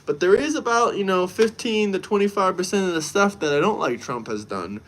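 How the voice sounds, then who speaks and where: Brash, high-voiced American man streaming. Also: lively intonation, brisk, a bit bright, poor audio. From United States. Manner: brash, repetitive, emphatic. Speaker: live streamer. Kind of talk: casual live-stream chat.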